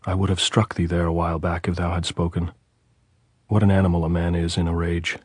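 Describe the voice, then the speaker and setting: A man voicing a calm, weary male soldier talking softly to the woman he loves. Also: sits low, breathy edge, no echo, clean studio sound. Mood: quiet tender. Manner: soft, tender, measured. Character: calm tired male soldier speaking tenderly to his lover.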